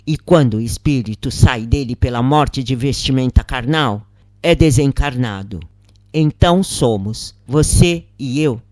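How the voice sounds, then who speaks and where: A narrator voicing a brisk, commanding male spirit guide who gives instructions in a story. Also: medium pitch, warm tone, dry recording, clean studio sound, close to an everyday voice.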